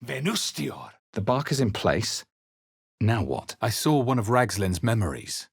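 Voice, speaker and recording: A man playing a grave fantasy game adventurer, with solemn, measured, dramatic delivery as he narrates his journey. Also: mid-range voice, sing-song, gravelly, slightly rough, no echo, studio quality, exaggerated voice. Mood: forceful incantation. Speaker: video game player-character voice.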